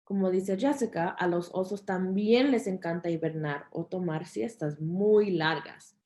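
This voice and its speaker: A woman's voice: lowish voice, moderate pace, mellow. A woman interpreting a children's program, relaying instructions and fun facts to families and kids.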